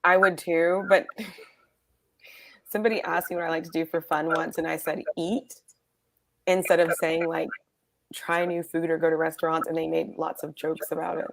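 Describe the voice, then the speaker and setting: A woman's voice: low-pitched, flat delivery, moderate pace, vocal fry, pauses often, low-quality recording. A woman guest co-host on a reality TV recap, wry and joking.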